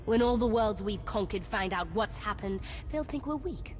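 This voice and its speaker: An actress playing a sadistic, resentful villainess in a video game, with a hushed, menacing, petulant delivery. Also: female voice, mid-range voice, lively intonation, moderate pace, background noise, close to an everyday voice. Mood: bitter resentment. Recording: reverberant game hall, faint music.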